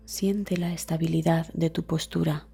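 Female narrator for guided meditation. Mellow, dark, husky and soft, calm scripted delivery with gentle trailing phrases. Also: deep voice, measured pace, soft background music.

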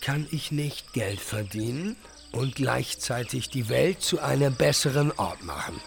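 A man's voice: mid-range voice, lively intonation, a little slow, gravelly, background noise. A man playing a slick, self-promoting influencer entrepreneur in a satirical audio drama, bragging and flirty, dropping English buzzwords. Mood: smug, preachy. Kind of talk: satirical podcast radio drama.

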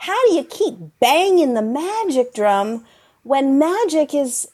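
A woman plays a disillusioned young witch at school, cynical and tired. Her voice is a bit high, and she speaks slowly and dryly, as in improvised comedy. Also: lively intonation, darkish tone. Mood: jaded, questioning. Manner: weary, dry, deliberate. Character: jaded, cynical young female magic student.

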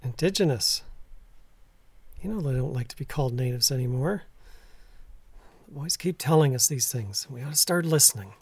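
Radio drama: plain-spoken rural husband in middle age, gruff, firm but decent, dry studio sound. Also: male voice, medium pitch, animated, moderate pace, pauses often, no echo. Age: middle-aged. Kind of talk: naturalistic audio drama acting.

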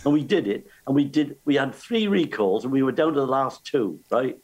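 Brief: husky older male co-host from Wales, lively storyteller, swooping pitch. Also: a little fast. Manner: animated storyteller. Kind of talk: casual chat.

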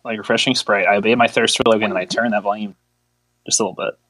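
A man's voice: on the low side, fairly even intonation, fast, a bit bright, no echo. A man co-hosting a podcast in casual chat, joking, teasing and rambling as he goes. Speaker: podcast co-host.

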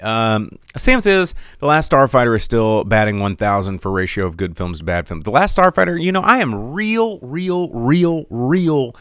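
Smooth-voiced, rambling male podcaster. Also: on the low side, dry recording, clean studio sound. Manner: rambling, animated, sarcastic asides. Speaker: podcast host. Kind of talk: casual solo commentary.

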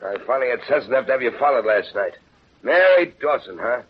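Actor as a fast-talking cynical sidekick. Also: male voice, medium pitch, lively intonation, slight background noise, close to an everyday voice. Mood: scornful. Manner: blunt, sarcastic. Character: cynical, blunt, slangy wisecracking sidekick man. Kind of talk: 1930s radio acting. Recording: muffled, lo-fi 1930s radio broadcast.

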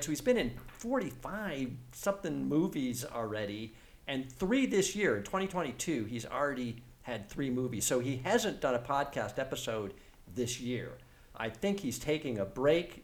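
A man's voice: medium pitch, lively intonation, moderate pace. A man co-hosting a podcast in casual conversation, explaining his take and often checking in for agreement. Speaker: podcast co-host.

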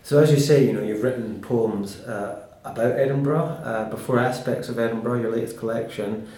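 A man with a husky voice, presenting and interviewing as a podcast host. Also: medium pitch, brisk, dark tone. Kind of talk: scripted podcast introduction and interview.

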